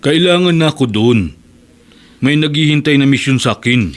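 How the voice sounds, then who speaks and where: An actor playing a stern, domineering militant husband in a radio drama, speaking in a firm, commanding way. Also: male voice, medium pitch, lively intonation, moderate pace, lightly acted. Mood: defensive. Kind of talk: Tagalog radio drama acting.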